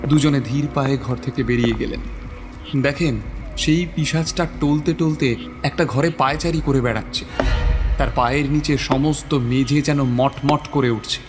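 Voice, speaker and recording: A man's voice: medium pitch, moderate pace. A man narrating a scripted horror story in a suspenseful, theatrical manner. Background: prominent dramatic music.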